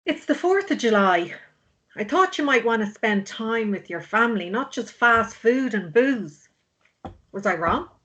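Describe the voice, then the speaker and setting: Slow, high-voiced exasperated sister, acted by a woman. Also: animated, a bit bright. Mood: firm. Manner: blunt, pleading. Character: exasperated, blunt, worried sister of a grieving drunk. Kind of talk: drama script table read.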